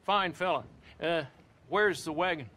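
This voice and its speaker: An actor playing a kindly but doubtful older storekeeper in a western game, with an American accent and folksy, hesitant speech. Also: male voice, a little high, unhurried, sharp tone, slight background noise. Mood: doubtful, friendly. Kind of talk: cinematic western game dialogue.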